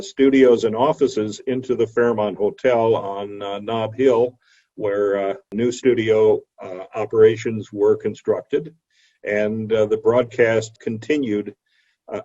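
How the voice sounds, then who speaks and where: Male lecturer, low-pitched and very mellow, measured and hesitant explanatory delivery, lo-fi video call sound. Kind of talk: illustrated history lecture. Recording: dull, lo-fi video call audio.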